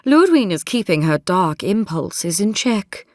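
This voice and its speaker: An actress playing a polished, menacing military captain in a fantasy video game, courteous and measured with a silky threat underneath. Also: female voice, medium pitch, big pitch swings, darkish tone, no echo, clean studio sound. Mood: sly, assured.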